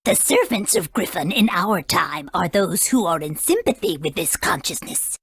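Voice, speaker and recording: An actress playing a proud, aged female sage in a video game, husky, formal and haughty, stern yet kindly. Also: fairly low pitch, expressive, normal speed, no echo, studio quality, full character voice. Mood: grave, explaining.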